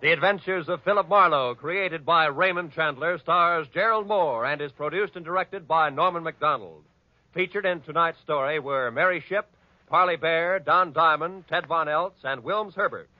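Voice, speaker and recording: A man's voice: on the high side, moderate pace, no echo. An American man announcing formally from a script in 1940s radio style. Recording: muffled vintage radio broadcast, music behind.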